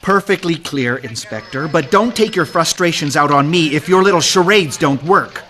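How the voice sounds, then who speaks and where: An actor playing a cheeky, defiant newspaper reporter in an old-time radio mystery, speaking pointedly. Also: male voice, fairly high pitch, lively intonation, normal speed, sharp tone.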